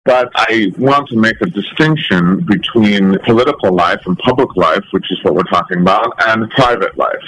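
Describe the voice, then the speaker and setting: Deep-voiced American-born man, measured and articulate. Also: a bit bright, no echo, poor audio. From United States. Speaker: interview guest, documentary photographer. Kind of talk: thoughtful interview answers.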